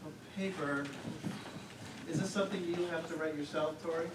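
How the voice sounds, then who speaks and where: A woman on stage playing a dry, unimpressed husband in a comedy, deadpan and skeptical. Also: deep voice, normal speed, lightly acted. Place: theater stage, recorded from afar. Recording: echoey theater, distant mic, some noise.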